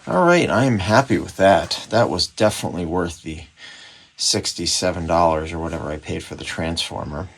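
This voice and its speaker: A man's voice: sits low, lively intonation, moderate pace, fairly bright tone. A man giving casual hands-on commentary on a repair, musing and thinking aloud as he works indoors at a bench. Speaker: radio repairer presenting a restoration.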